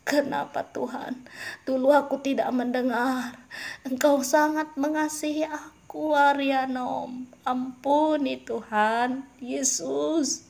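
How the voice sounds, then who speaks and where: A woman's voice: on the high side, little pitch movement, slow pace, gravelly. A woman crying out as an anguished soul. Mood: anguished pleading. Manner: pleading, anguished cries. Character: anguished regretful soul begging for mercy.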